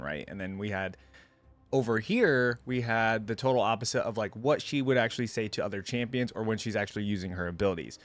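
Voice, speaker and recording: A man's voice: fairly low pitch, no echo. A young man hosting a reaction video, giving casual commentary and musing aloud in an informal way.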